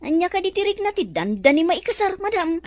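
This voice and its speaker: Chatty, teasing helper, Ilocano radio drama; high, bouncy intonation, dry sound. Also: female voice, sing-song, brisk, no room sound. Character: chatty companion Joven addressing the bride as madam. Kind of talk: Filipino radio drama acting.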